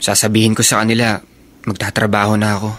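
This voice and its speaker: A man's voice: fairly low pitch, completely flat delivery, fast talker, fairly bright tone, close to an everyday voice. A man playing a protective, responsible young elder brother in a radio drama. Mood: resigned. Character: resolute, protective teenage elder brother, hurt but responsible.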